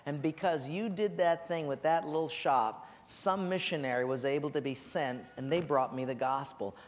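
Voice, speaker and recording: A male pastor from preaching a sermon in a church, swinging between loud high emphasis and low quiet drops. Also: normal speed. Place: church sanctuary. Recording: long hall reverb.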